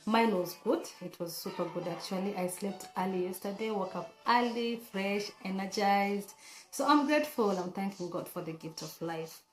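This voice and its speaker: Hoarse woman vlogging in an echoey room. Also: medium pitch, normal speed, faint music behind. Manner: chatty, earnest, many fillers and restarts. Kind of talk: casual talk to camera.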